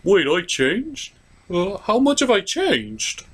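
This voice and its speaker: A man's voice: high voice, very animated, takes it slowly, a bit bright, breathy edge, pitched above the performer's own voice, heavily acted. A man voicing a meek, flustered, self-deprecating frog puppet in a comedy review show. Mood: meek, resigned.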